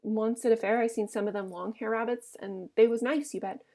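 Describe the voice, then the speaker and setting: A big, simple-minded farmhand man from a storybook, acted out by a woman reader. He is childlike and earnest, pleading and fretting in uneducated rural dialect. The voice sits high for the part, with a creaky edge. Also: fairly even intonation, fairly quick, a little mellow, close to an everyday voice.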